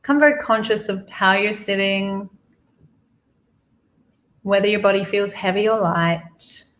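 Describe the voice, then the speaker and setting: A woman guiding a meditation slowly and soothingly, repeating phrases with pauses, in a creaky, gravelly voice. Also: mid-range voice, a bit bright. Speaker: guided meditation leader. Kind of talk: guided money meditation, spoken softly to the listener.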